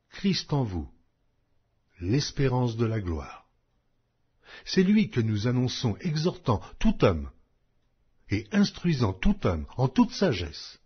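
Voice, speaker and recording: Male scripture reader, solemn, declamatory, widely varied pitch, husky tone, slightly dull recording. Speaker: audio Bible reader.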